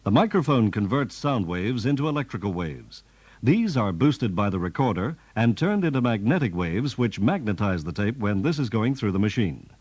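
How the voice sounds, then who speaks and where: A man's voice: fairly low pitch, sing-song, moderate pace, darkish tone, slightly rough, no room sound. A man reading scripted 1960s instructional narration in a formal, explanatory manner. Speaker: announcer.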